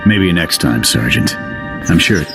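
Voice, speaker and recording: A gruff officer running a military operation in a video game. His voice is low and authoritative, set over loud music. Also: animated. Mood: condescending. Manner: authoritative, brisk orders. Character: commanding gruff male army lieutenant running an operation. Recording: loud music under the dialogue.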